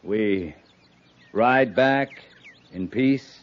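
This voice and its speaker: An actor playing a steady, reasoning cavalry captain in a 1950s radio western, speaking in a measured, earnest way. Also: male voice, fairly low pitch. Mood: earnest, solemn. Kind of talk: 1950s radio drama acting. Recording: dull 1950s radio recording.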